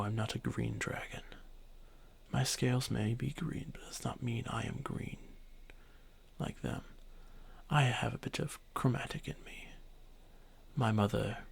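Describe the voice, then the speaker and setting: Arrogant age-old dragon played by a man, fantasy ASMR: breathy, fry-laden, unhurried delivery, haughty and commanding. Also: mid-range voice, exaggerated voice. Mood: bitter, vengeful. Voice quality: breathy, creaky half-whisper. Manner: slow, haughty, deliberate. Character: arrogant ancient dragon, male, thousands of years old, domineering.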